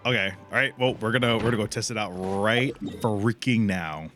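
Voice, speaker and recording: A man's voice: fairly low pitch, normal speed. A man giving casual, crude and slangy live commentary while streaming a video game. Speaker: livestreamer playing a video game. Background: game music.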